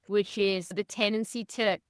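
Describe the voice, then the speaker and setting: Smooth-voiced woman, non-native speaker. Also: mid-range voice, unhurried, no echo, clean studio sound. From Belgium. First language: not English. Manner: animated, laughs easily, says "I feel like" often. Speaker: podcast guest, personal brand strategist. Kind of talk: casual interview chat.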